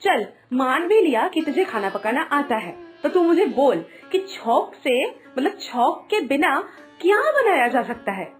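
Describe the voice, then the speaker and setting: A high, scolding voice for the bossy elder sister-in-law of an animated household tale, played by a woman. She is mocking and theatrical in her delivery. Music runs behind her. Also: measured pace. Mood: scolding, taunting. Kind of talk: Hindi animated moral story acting.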